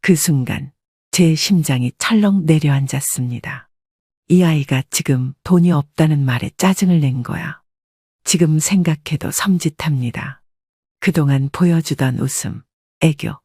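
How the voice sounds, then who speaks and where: An older woman with a husky voice reads a first-person confessional story as scripted narration. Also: low voice, mellow, no echo, clean studio sound.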